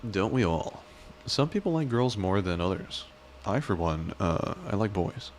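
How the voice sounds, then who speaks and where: Male streamer, very low voice, rambling self-mocking banter, faint noise under it. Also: animated, measured pace. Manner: rambling, joking, self-deprecating. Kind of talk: casual live-stream chat.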